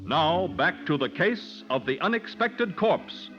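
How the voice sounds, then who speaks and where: A man's voice: sits high, lively intonation, slow pace. A man announcing a 1940s radio show in scripted narration. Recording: muffled old radio recording, loud music.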